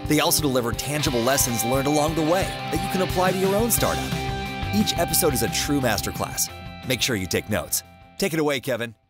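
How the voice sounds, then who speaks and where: A man's voice: medium pitch, very animated, fast talker. A man reading an upbeat, emphatic scripted podcast intro as announcer. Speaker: show announcer. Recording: voice-over over loud guitar music. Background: loud guitar music.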